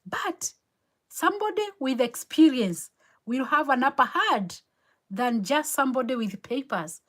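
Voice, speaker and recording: A woman's voice: high-pitched, animated, measured pace, fairly bright tone. A Kenyan woman, native Kikuyu speaker, hosting a motivational live stream, earnest and encouraging, repeating her key phrases. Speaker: motivational livestream host. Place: home in New York City.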